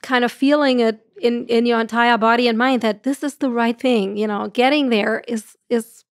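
Podcast guest, German-accented woman, middle-aged, expressive and earnest, dead-dry studio sound. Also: on the high side, moderate pace, no room sound. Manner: earnest, gestures a lot, laughs often. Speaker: guest astrophysicist. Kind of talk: podcast conversation. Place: room with curtains. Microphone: studio mic on boom arm.

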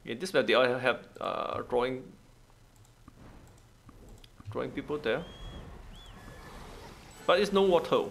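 A man's voice: mid-range voice, expressive, slow pace, pauses often, a bit bright, a little hiss or hum. A man giving casual gameplay commentary in non-native, broken English, thinking aloud in short, repeated phrases. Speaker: gaming video host.

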